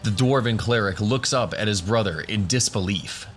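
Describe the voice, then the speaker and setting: Lowish-voiced male fantasy narrator. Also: moderate pace. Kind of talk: scripted fantasy audio drama narration. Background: music.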